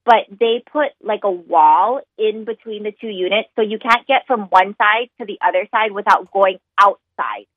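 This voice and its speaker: A woman calls in over a tinny phone line to explain loan rules. Her talk is conversational and loose, full of ums and likes. Her voice is mid-pitched and dry. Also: moderate pace, few pauses, no echo. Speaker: mortgage lender guest.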